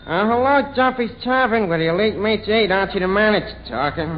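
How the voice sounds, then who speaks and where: Male comic doing lowbrow New barkeep: high voice, folksy, hesitant, wisecracking; noisy vintage radio sound. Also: animated, normal speed. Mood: chummy, boastful. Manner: hesitant, folksy, mangling his words. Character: bumbling, wisecracking lowbrow New York tavern manager on the phone. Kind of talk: 1940s radio comedy impression. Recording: dull, hissy vintage radio recording.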